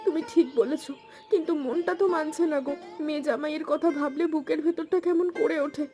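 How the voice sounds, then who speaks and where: Actress as a very high-pitched anxious village wife. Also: female voice, fairly even intonation, quick pace, mellow, soft background music, natural voice. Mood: sorrowful. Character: devoted, anxious middle-aged village wife of a fisherman. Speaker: cartoon voice actor. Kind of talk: emotional cartoon dialogue in a moral folk tale.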